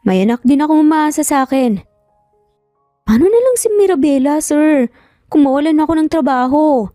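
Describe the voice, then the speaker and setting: A woman's voice: high voice, animated, normal speed, mellow, no room sound, faint music behind, voice pushed up. A woman voicing a playful, cheeky young single mother who teases her boss with mock-dramatic pleading in a narrated story.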